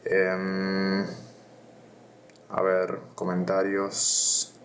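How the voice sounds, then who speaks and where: Low-voiced Argentine man, hesitant live reading. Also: monotone, very slow, creaky, a little hiss or hum. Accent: Argentine Rioplatense Spanish. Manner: thinking aloud with many hesitations. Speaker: philosophy streamer reading aloud. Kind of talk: casual live reading and chat.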